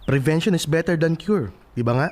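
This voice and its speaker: A man's voice: fairly high pitch, expressive, a little fast, a little mellow, lightly acted. A man acting a stubborn young man who denies being in love, in casual, defensive radio drama dialogue. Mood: resolute.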